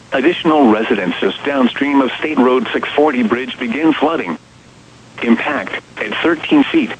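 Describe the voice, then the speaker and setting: A synthetic man's voice with an American accent reads scripted weather bulletins as an automated radio announcer, formal, measured and impersonal. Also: medium pitch. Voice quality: synthetic text-to-speech voice. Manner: formal, measured, impersonal reading in short segments. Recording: dull, noisy weather radio broadcast.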